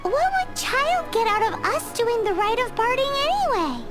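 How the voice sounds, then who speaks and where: A woman voicing a tiny childlike fairy companion, excitable and exclamatory, in a video game. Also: normal speed. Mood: curious. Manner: squeaky, protesting, exclamatory. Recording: music playing behind.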